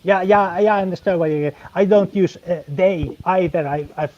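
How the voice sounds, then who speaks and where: A man whose first language is Finnish, a guest in casual stream chat, who speaks haltingly and searches for words. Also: high-pitched, highs cut off, a little hiss or hum. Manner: halting, full of fillers and restarts while searching for words.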